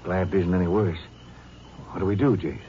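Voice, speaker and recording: A man's voice: deep voice, fairly even intonation, fairly quick, a little hiss or hum, natural voice. An actor playing an easygoing male country sheriff in a 1950s radio drama, talking in a folksy, drawling way. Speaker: supporting actor. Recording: muffled 1950s radio broadcast.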